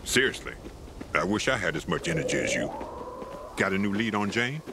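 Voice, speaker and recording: A man's voice: lowish voice, animated, a little fast, background noise. An actor playing a seasoned, cocky middle-aged pilgrim in a video game, teasing his friend in a casual, friendly way. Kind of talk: video game dialogue acting.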